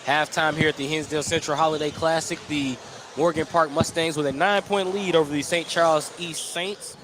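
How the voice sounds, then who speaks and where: A man's voice: fairly high pitch, fairly even intonation, a little fast. A man doing play-by-play for live basketball radio, describing each play in running detail. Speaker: play-by-play sports announcer. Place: indoor high school gym. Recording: noisy live gym broadcast.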